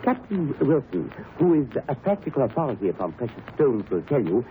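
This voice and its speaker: An actor playing an anxious, fussy museum professor in a radio mystery, speaking formally with many hesitations. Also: male voice, medium pitch, big pitch swings, fairly quick, close to an everyday voice. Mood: courteous, scholarly. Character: fussy, anxious male museum professor, superstitious despite himself. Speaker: museum curator. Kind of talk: 1930s radio mystery acting. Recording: muffled, noisy old radio recording.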